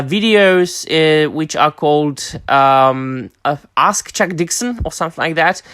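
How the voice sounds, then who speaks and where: A man's voice: a little high, pushed and bright, no room sound, studio quality. A man hosting a review vlog, enthusiastic and emphatic, with many fillers. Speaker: host. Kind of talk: book and film review vlog.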